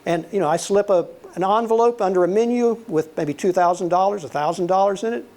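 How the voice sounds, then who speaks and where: An older man speaks with a soothing voice that sits a little high for a man. He lectures in a relaxed, conversational way, weaving in anecdotes and acting out dialogue. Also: a little fast. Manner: relaxed storyteller, acts out quoted dialogue. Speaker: guest lecturer, former intelligence officer.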